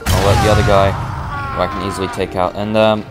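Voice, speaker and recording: Uk guy commentating a game, voice going, rambles through his moves, lowish, faint game music. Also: little pitch movement, brisk. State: losing his voice. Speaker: gameplay commentator. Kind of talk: casual let's play commentary.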